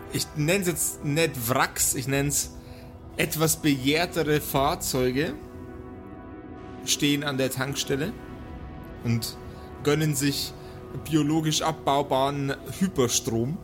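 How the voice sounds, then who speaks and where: A man with a fairly high voice runs an improvised tabletop adventure as game master. He narrates theatrically, leaving deliberate pauses. Music plays clearly behind him. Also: fairly even intonation. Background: background music.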